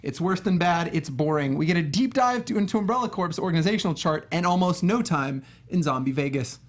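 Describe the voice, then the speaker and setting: A man's voice: on the high side, expressive, brisk. A man co-hosting a casual movie review chat, with a wry, sarcastic way of talking. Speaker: talk show co-host.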